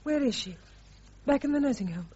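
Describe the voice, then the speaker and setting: An actress playing a determined, forthright woman in a radio drama, husky and insistent. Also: high-pitched, expressive, a little fast, warm tone, lo-fi sound. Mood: urgent pleading. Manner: insistent, direct. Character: determined, forthright, loyal woman friend of accused officer. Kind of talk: British radio drama acting.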